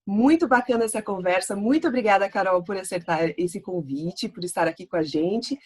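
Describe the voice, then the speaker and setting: Husky Brazilian woman hosting an interview. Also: mid-range voice, fairly quick, a little mellow. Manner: enthusiastic, chatty. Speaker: host.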